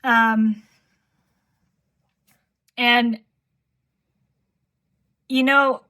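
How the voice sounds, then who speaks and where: A woman giving an intuitive tarot reading, calm and soft-spoken, her voice slightly hoarse from talking. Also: on the high side, very slow, low-quality recording. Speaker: tarot reader.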